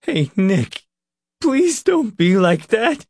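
A man's voice: fairly high pitch, expressive, a little slow, a little mellow, breathy edge, no echo, pitched above the performer's own voice, exaggerated voice. A man voicing a frantic, guilt-ridden teenage boy in an audiobook, crying and pleading with a stammering, breaking voice. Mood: desperate, sobbing.

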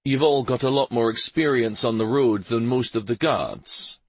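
A man's voice: mid-range voice, moderate pace, dry recording, voice pushed up, close to an everyday voice. A man voicing a commanding, kindly army major in an audiobook fantasy story. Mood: firm, instructive. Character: commanding military major giving orders, firm but kindly man.

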